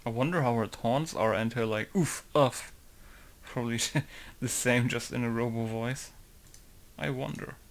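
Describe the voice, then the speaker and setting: A man's voice: mid-range voice, fairly even intonation, a little slow. A German man, a game modder, rambling casually and hesitantly with many fillers while testing voice lines.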